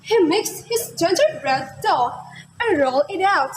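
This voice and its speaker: A woman's voice: extremely high pitch, animated, unhurried, rough recording. A woman narrating a scripted children's story in a readers theater style. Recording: amateur recording with clear noise.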